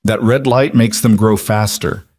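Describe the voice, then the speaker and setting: A man's voice: lowish voice, steady tone, unhurried, darkish tone, no echo, clean studio sound. A man voicing an earnest, stubborn eight-year-old girl with a careful, reasonable way of talking in a narrated story. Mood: aggrieved, stubborn. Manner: careful, reasonable, matter-of-fact.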